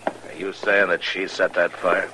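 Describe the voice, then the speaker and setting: An actor in a 1950s radio drama playing a stern frontier marshal who speaks in a firm, measured, commanding way. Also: male voice, low-pitched, little pitch movement, noisy background. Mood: probing. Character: stern, steady frontier marshal, grown man.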